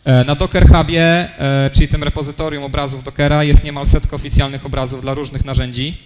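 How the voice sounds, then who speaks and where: A man's voice: fairly high pitch, flat delivery, a little fast. A young man giving a technical conference talk in a lecture room, explaining step by step with many fillers and self-corrections. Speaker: conference speaker. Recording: long hall reverb, faint noise.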